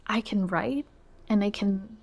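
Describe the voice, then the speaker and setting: A woman's voice: mid-range voice, a little slow, gravelly, a little mellow. A young woman from Indonesia, whose first language is Indonesian, speaking English as a podcast guest in a thoughtful, conversational way with frequent fillers and restarts. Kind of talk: reflective conversational interview.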